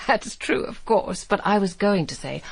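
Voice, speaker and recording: An actress playing a worldly, flirtatious, wealthy Roman provincial hostess in a radio drama, airy and teasing in manner. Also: female voice, mid-range voice, animated, unhurried, vocal fry, breathy edge, heavily acted. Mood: breezy, gossipy. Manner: coy, teasing, airy, gossipy.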